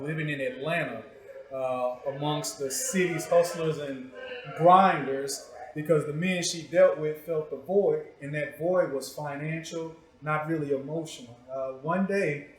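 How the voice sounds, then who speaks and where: A man hosting a conversational interview, speaking in a probing, direct way. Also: a little high. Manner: probing, short direct questions and prompts. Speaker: show host interviewing a guest. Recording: echoey room.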